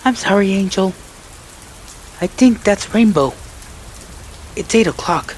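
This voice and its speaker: Casting: male reader playing a timid, kind-hearted girl from a cartoon, high-pitched and tender. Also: takes it slowly, slight background noise, low-quality recording. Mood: gently chiding. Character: gentle, shy, caring teenage girl, sweet animal lover.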